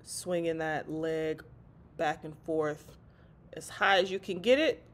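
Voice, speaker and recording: A woman's voice: low voice, steady tone, slow pace. Congested woman's casual workout voice-over. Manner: casual, chatty, addresses viewers as y'all. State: congested, stuffy. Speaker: fitness vlogger.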